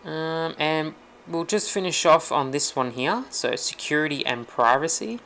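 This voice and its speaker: A man walking through computer settings in a relaxed, rambling way, with lots of ums. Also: on the high side, measured pace, a little hiss or hum. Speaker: tech tutorial host. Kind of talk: casual screen-recorded walkthrough.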